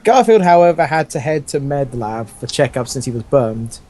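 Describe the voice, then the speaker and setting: A man's voice: medium pitch, sing-song, normal speed, warm tone. A young man casually reading fan fiction aloud, stumbling over lines and joking along. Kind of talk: casual story reading with banter.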